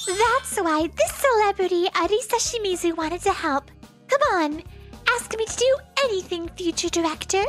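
A woman's voice: very high-pitched, lively intonation, normal speed, soft background music. A woman voicing a young actress who works undercover as a lunch lady, in a manga dub. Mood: playful. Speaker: actress character.

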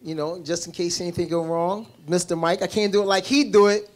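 A man's voice: high voice, fairly even intonation, fairly quick. A man introducing himself as an understudy actor in a restaurant bar, humble and respectful, at a handheld mic.

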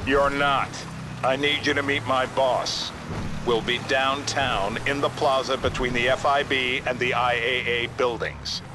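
A man's voice: medium pitch, a little slow, background noise, natural voice. Man playing a businesslike government agent. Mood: insistent. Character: businesslike male government agent giving instructions. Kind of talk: video game acting.

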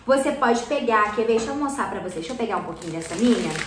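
A woman's voice: fairly high pitch, animated, fast, background noise. A woman in her thirties hosting a slime-making tutorial for kids, chatty and encouraging, often checking in with her viewers as she mixes. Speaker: slime channel host. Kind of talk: hands-on craft tutorial for kids. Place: indoor studio corner packed with colorful slime products. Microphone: fixed camera at table, mid shot. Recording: roomy indoor echo.

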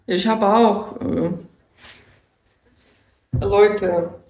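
A young woman with a Ukrainian accent talks slowly and haltingly into a phone held at arm's length. She chats in a loose livestream rant, often checking in with the listener. Her voice carries a roomy echo from the indoor space. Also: mid-range voice, fairly even intonation, a little mellow. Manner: halting broken German, often asks "weißt du?". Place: indoor room with a sofa.

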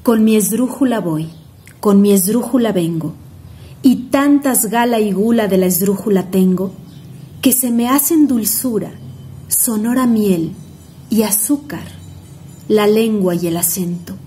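A young woman with a Mexican accent, hosting a poetry channel from home and reciting verse in a rhythmic, expressive way. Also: mid-range voice, measured pace. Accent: Mexican Spanish. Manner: expressive, rhythmic, savoring each word. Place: home room indoors.

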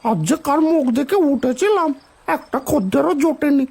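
A woman voicing a chatty, weary village ferry boatman with a creaky voice and a folksy, grumbling manner in a children's cartoon. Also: sits high, expressive, moderate pace, darkish tone.

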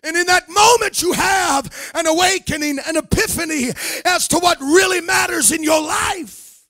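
A middle-aged American man preaching an impassioned church sermon in a forceful, emphatic manner, building his point through repeated phrases. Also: very high-pitched, moderate pace, dry recording, clean studio sound. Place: indoor church meeting room, at a pulpit. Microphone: handheld microphone held close.

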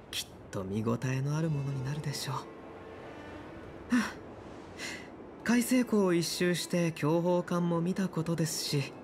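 An actor playing a polite, composed male military doctor in a video game, speaking in a courteous, measured, formal way. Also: medium pitch, slight background noise, natural voice.

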